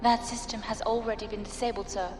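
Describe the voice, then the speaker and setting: A woman's voice: a little high, level tone, quick pace, close to an everyday voice. Echoing, formal female ship intelligence played by a woman. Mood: formal, reporting. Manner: precise, formal, deferential. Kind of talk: science fiction audio drama acting. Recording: echoing hall reverb, music behind.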